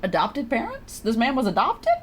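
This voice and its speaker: A woman's voice: on the high side, wildly swooping pitch, normal speed, slight background noise. A woman streamer giving casual, reactive, sweary commentary while playing a game. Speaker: live streamer.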